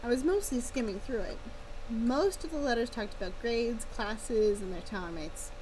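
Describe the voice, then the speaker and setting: Noticeable background noise surrounds a woman with a fairly high voice. She reads a story aloud in a casual livestream style, dropping in playful asides. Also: moderate pace, darkish tone, low-quality recording. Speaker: livestreamer, author reading her own story aloud.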